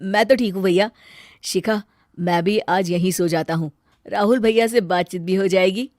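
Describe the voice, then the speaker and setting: Woman voicing a young excitable husband. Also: medium pitch, normal speed, dry recording, clean studio sound, close to an everyday voice. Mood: cheerful. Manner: loud, excitable. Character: young husband, cheerful then frightened.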